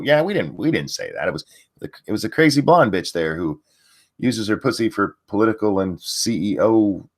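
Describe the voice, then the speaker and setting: An older man hosting a live stream, his low voice leaping about in pitch as he mocks an article. His commentary is crude and sarcastic, heavy with swearing and scoffing asides to chat. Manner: reads chat and an article aloud. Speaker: livestream host.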